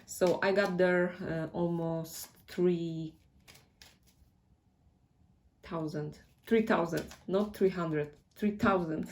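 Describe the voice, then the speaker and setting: A woman's voice: lowish voice, steady tone, takes it slowly. A woman with a Polish accent, a native Polish speaker, giving a casual tarot reading in a hesitant way and often searching for words. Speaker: tarot reader.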